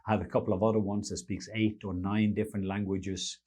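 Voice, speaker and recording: A middle-aged man with a Norwegian accent speaks slowly and earnestly, as if teaching a congregation. His voice sits low and has a husky, breathy edge. His English carries the slightly unusual phrasing of a second language. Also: little pitch movement, warm tone. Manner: earnest, slow and deliberate, with non-native phrasing. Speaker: preacher and podcast host. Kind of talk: unscripted teaching monologue.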